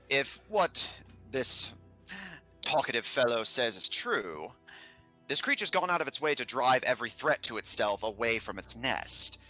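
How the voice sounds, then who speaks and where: A man voicing a pompous, genial and wordy old-fashioned gentleman in a tabletop game. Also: medium pitch, low-quality recording.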